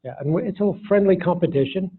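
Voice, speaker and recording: A man's voice: sits high, fast, slightly rough. A man giving a keynote talk and taking audience questions in an informal, folksy way, in short phrases. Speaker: keynote speaker. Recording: muffled lo-fi hall recording.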